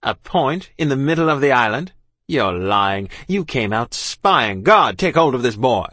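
A man's voice: on the low side, expressive, normal speed, a bit bright, no room sound. A man voicing a haughty, short-tempered British army officer who speaks in a curt, imperious way. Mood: angry, commanding.

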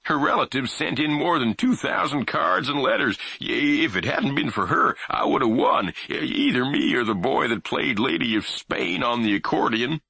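A man's voice: medium pitch, animated, normal speed, creaky, few pauses, bright, forceful tone, breathy edge, no echo, voice pushed up, full character voice. A man voicing a rambling, boastful old grandfather in a storybook reading. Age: over 60.

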